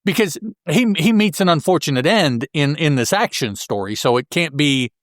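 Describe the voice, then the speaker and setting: A man co-hosting a podcast, casually discussing a comic book story and thinking aloud in a halting way. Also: fairly high pitch, animated, a bit bright, no echo, clean studio sound. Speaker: podcast co-host.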